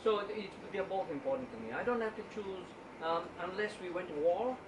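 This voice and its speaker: A man's voice: sits high, a little fast. A man, a statistician, gives an informal talk to a book club and often stumbles and repeats his words. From Uganda. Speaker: guest speaker, statistician. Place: indoor meeting room at a book club. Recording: roomy, distant room recording with background noise.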